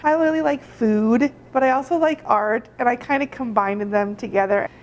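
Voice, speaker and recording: A woman's voice: a little high, moderate pace. A woman from Germany, a shop owner, answering interview questions in a chatty way with lots of fillers and laughs. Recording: dull audio with faint noise.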